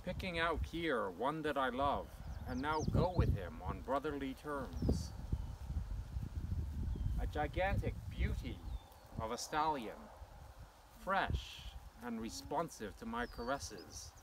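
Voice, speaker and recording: A middle-aged man gives a dramatic poetry recitation in a measured, declamatory way, pausing between short phrases. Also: medium pitch, very animated. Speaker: poetry reader. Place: open grassy farm paddock outdoors. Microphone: camera a few metres away. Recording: distant mic, loud outdoor wind and noise.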